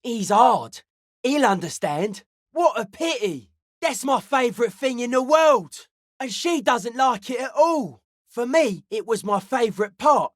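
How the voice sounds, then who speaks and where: An actor playing a laid-back young hardcore underground raver who is wary of cops, talking in sardonic street slang for a video game. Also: male voice, very high voice, expressive, unhurried, no echo, studio quality. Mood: enthusiastic.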